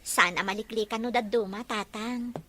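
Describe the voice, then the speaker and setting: Young wife for audio drama, female actor, Ilocano accent, tender and wistful, bright higher voice. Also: normal speed, close to an everyday voice. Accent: Ilocano Filipino. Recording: faint background noise.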